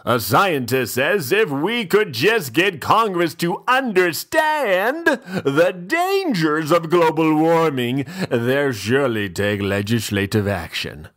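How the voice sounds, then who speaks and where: A man playing a pompous, fussy, self-indulgent gentleman host who gives mock-literary dramatic readings with drawn-out, theatrical pauses. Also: fairly high pitch, big pitch swings, exaggerated voice. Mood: grandly dramatic.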